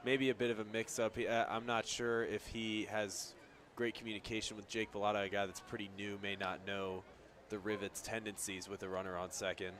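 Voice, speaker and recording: A man's voice: fairly low pitch, flat delivery, fairly quick, few pauses, a bit bright. A man giving conversational, explanatory color commentary during a live baseball radio broadcast. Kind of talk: conversational live game analysis. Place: outdoor baseball stadium. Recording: clearly noisy outdoor stadium background. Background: outdoor ballpark ambience.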